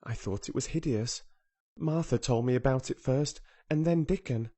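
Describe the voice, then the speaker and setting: A man's voice: mid-range voice, moderate pace, dark tone, breathy edge, voice pushed up, close to an everyday voice. A man reading aloud who voices a headstrong, contrary young girl with an earnest, blunt way of speaking.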